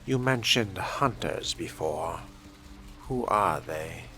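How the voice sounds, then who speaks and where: Male role-player for a young vampire: extremely low, crisp bright edge, measured scripted questioning. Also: animated, a little hiss or hum. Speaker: player reading a fledgling vampire's lines. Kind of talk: scripted role-play dialogue.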